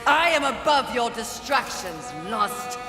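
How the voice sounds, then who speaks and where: Video game warrior horsewoman: low-voiced, haughty, curt, threatening, lively inflection. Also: takes it slowly, echoey room, long reverb tail, over music. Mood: cold, threatening. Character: haughty, fierce female horseman of the apocalypse. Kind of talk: dramatic video game acting.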